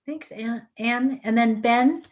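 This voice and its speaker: A woman's voice: on the high side, slow. Encouraging woman hosting an interview. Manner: encouraging, warm praise with fillers. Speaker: interviewer for a professional association. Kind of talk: welcoming interview host.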